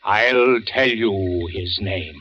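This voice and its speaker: An actor playing a theatrical, grieving old stage actor in 1940s radio style, declamatory, with dramatic pauses. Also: male voice, deep voice. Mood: menacing, accusing. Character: theatrical grieving old actor father, half-mad, accusing. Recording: muffled, noisy old radio recording.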